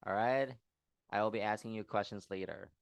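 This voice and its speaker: Low-voiced man teaching an online class. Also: fairly even intonation, brisk, gravelly, no room sound. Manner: patient, encouraging, checks in often. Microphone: video call.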